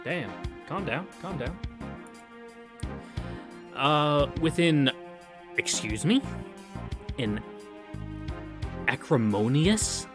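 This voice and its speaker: A man's voice: fairly high pitch, animated, extremely slow, long pauses. A man hosting a visual novel read-through, reading the narration aloud and adding casual asides. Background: game music.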